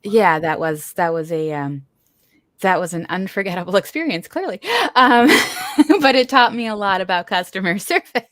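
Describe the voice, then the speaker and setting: A woman's voice: medium pitch, lively intonation, moderate pace, a bit bright, dry recording. A woman hosting an interview in a casual, chatty way, laughing easily and restarting her sentences as she talks. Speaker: podcast host.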